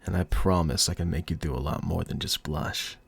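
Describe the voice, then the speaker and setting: A man's voice: low-pitched, fairly even intonation, brisk, gravelly, husky, exaggerated voice. A man playing a cocky, flirty, menacing mafia boss in an audio roleplay, with a put-on mobster accent and a smug, teasing drawl. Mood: cocky flirting.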